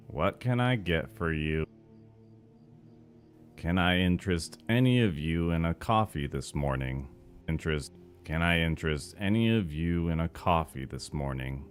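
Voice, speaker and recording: A man voicing a deadpan, moody, depressed cafeteria worker for an animated series, slow and glum in a deep voice. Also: full character voice. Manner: slow, glum, flat delivery. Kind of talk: animated cartoon voice acting takes.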